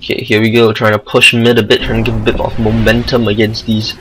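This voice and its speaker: A man with a Singaporean accent giving casual amateur commentary over a video game match, excitable and rambling. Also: fairly low pitch, fast talker, slight background noise, low-quality recording. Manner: excitable, rambling asides, self-deprecating.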